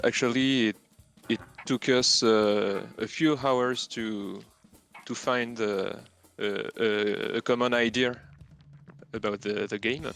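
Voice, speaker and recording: A man with a French accent, a native French speaker, answering casual interview questions haltingly as he searches for words. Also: fairly low pitch, creaky, fairly bright tone. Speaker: interview guest, game programmer.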